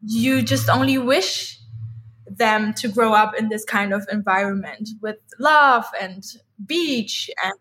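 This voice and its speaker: A woman's voice: a little high, slow pace, a bit bright, rough recording. A woman in her thirties whose first language is Dutch, chatting as an interview guest in a rambling way, full of "like" fillers. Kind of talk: casual interview chat.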